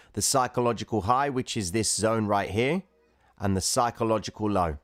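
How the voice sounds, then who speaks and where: A man's voice: medium pitch, no echo, studio quality. A man hosting a livestream, casually explaining chart trading and chatting with viewers who comment. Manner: chatty, reads viewer chat, calls viewers guys and bro.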